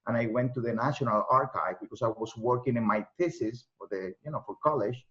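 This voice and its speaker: A man with a Cuban Spanish accent and Spanish as his first language. He answers interview questions reflectively, with slightly non-native phrasing. His mid-pitched voice comes through dull, low-fidelity audio. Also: a little fast, fairly bright tone. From Cuba. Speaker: author, journalist guest. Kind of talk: interview answers, personal storytelling.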